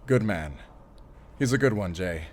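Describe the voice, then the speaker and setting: A man's voice: fairly low pitch, expressive, a little slow, darkish tone. An actor playing a middle-aged, wealthy, doting father in an audio drama, with a pompous, emphatic way of talking. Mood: warm fatherly. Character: wealthy, doting, commanding father of a grown son.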